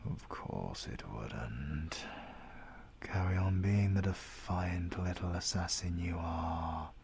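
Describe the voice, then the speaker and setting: A man playing a deep, gravelly British villain. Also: dark tone, a little hiss or hum, exaggerated voice. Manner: slow, deliberate, pompous and threatening. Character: menacing, mysterious male supervillain who drains life force. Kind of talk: ASMR audio roleplay monologue.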